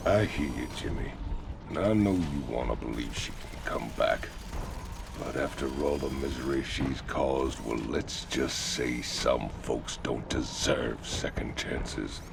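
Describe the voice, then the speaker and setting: Burly game mercenary, extremely deep bass, gravelly, Southern American drawl, cynical, noisy rumbling backdrop. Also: male voice, unhurried, exaggerated voice. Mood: grim, skeptical. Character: gruff, cynical, burly outlaw mercenary man. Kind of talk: video game dialogue. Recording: rumbling game ambience noise.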